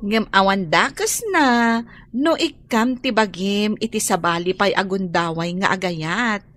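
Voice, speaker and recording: A woman's voice: mid-range voice, measured pace, fairly bright tone, natural voice. A woman playing an outspoken, candid character in a radio drama, with a Filipino accent. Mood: advising. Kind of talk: Ilocano radio drama acting.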